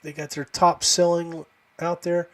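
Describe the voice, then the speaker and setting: A man hosting a podcast in a casual, folksy way, halting and often trailing off. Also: fairly high pitch, little pitch movement, fairly bright tone. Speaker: podcast host. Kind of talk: casual livestream chat.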